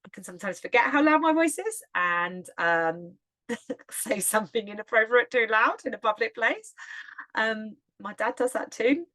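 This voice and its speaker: Loud, bright-voiced English woman. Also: medium pitch. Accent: Hertfordshire English. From England. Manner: loud, chatty, laughs often. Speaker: podcast host and yoga teacher. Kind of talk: casual solo podcast talk.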